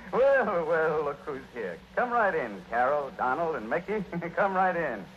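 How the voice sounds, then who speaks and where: A man's voice: a little high, very animated, slow, background noise. A man playing a jolly, welcoming old Santa with a hearty, chuckling delivery in a 1940s radio play. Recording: dull 1940s radio recording.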